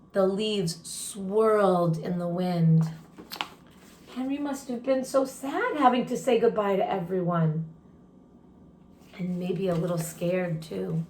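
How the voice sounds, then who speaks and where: A woman reads a children's picture book aloud at an unhurried pace in a roomy, echoing space. Her pitch sits in a middle range, and she reads expressively, stepping out of the text to put questions and comments to young listeners. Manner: expressive, pauses to ask listeners questions and react. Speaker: story time host, read-aloud teacher. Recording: roomy, echoing room sound.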